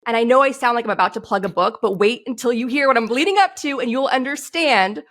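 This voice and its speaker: A woman's voice: on the high side, quick pace, fairly bright tone. A woman guest on a podcast, telling a story in a passionate, outspoken way. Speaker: guest, screenwriter. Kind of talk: interview answers.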